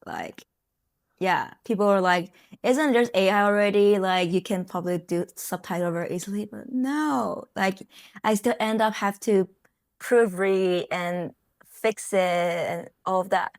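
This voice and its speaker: A woman's voice: mid-range voice, unhurried, dry recording. A young woman from Taiwan with a Taiwanese accent, whose first language is Mandarin, talking casually to camera in a chatty way with many fillers and small grammar slips. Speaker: software engineer and vlogger.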